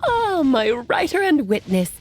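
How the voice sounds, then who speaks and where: A woman's voice: high voice, big pitch swings, measured pace, noisy background. An actress playing a playful, worldly witch in a video game, breezy, teasing and theatrical. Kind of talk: video game character acting.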